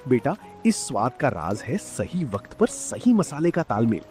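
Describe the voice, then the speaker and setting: A man's voice: mid-range voice, big pitch swings, slow pace, a little mellow. A man playing a hardworking, avuncular village father who sells street food in a cartoon story. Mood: hearty, proud. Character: hardworking village father selling spicy noodles, hearty, proud. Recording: music under the voice.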